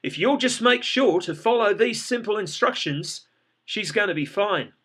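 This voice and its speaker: A man's voice: high-pitched, expressive, moderate pace, fairly bright tone, breathy edge, voice pushed up, exaggerated voice. A man voicing an urgent male paramedic who explains things firmly and with authority.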